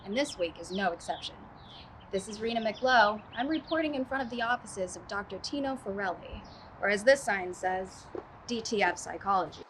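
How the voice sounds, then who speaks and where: A woman playing an earnest, skeptical local TV field reporter in a mock news report, measured and probing. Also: medium pitch, lively intonation, fairly bright tone, slight background noise, natural voice. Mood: presenting.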